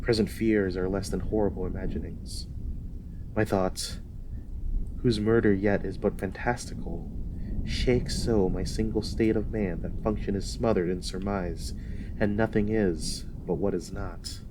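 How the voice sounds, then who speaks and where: A man playing an ambitious, brooding, commanding warlord in a declamatory, deliberate Shakespeare reading. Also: low voice, steady tone. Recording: noisy storm ambience behind.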